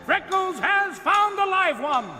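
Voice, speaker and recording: Very high-pitched, echoing circus ringmaster. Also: male voice, lively intonation, heavily acted. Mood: teasing, suspenseful. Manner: showy, drawn-out announcing. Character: grand circus ringmaster announcer, high-voiced, slyly menacing. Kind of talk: radio play acting. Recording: long echoing hall, loud music.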